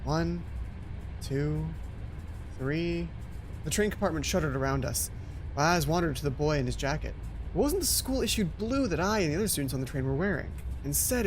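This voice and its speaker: A man's voice: a little high, a little hiss or hum, rough recording. A man hosting a gaming video, reading game text aloud and breaking off into casual asides, sometimes stumbling over the words. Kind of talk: let's play commentary mixed with reading visual novel text aloud.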